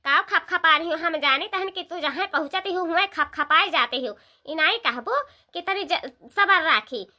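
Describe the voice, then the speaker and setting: Shrill, scolding village daughter-in-law. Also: female voice, sharp tone, heavily acted. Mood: irritated. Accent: eastern Uttar Pradesh. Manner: nagging, rapid-fire complaining in rustic dialect. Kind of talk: comedy cartoon dialogue.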